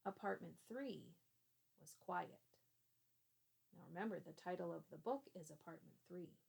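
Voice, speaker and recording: Casting: female teacher narrating a children's book, unhurried, some vocal fry, expressive storyteller. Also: lowish voice. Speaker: teacher reading aloud.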